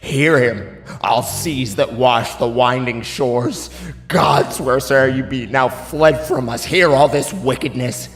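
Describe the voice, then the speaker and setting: A man's voice: mid-range voice, slow. A man playing an anguished, grieving father in a classical verse tragedy, declaiming solemnly and with passion. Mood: anguished pleading. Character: anguished, grieving father, betrayed brother of a king. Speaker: stage actor. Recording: long reverb, music underneath.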